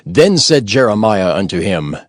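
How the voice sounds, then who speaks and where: A man gives formal scripted narration for a scripture drama. His voice is fairly low with very varied intonation, captured close and dry over faint music. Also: sing-song, moderate pace, no room sound. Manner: formal, storytelling.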